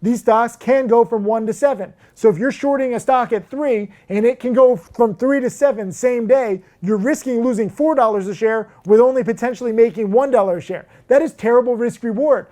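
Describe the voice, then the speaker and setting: A man who teaches stock trading, talking casually to camera in a brash, emphatic way that turns loud and worked up, with crude jokes and lots of "um" and "like". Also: extremely high pitch, fairly quick, hardly any pauses.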